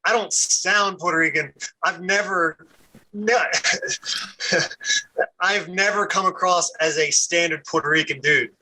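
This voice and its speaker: A man's voice: high-pitched, a little slow, pauses often, sharp tone, a little hiss or hum, low-quality recording. A man in his thirties, a podcast guest chatting casually and rambling, repeating words and laughing easily. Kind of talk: casual conversation.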